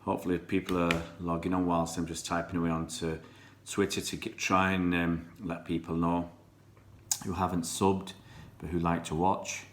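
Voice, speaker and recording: A man's voice: low-pitched, little pitch movement, normal speed, a bit bright, a little hiss or hum. A middle-aged man chatting casually on a live stream, greeting viewers by name and rambling between comments. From born in Pakistan, raised in England. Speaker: fan channel live streamer.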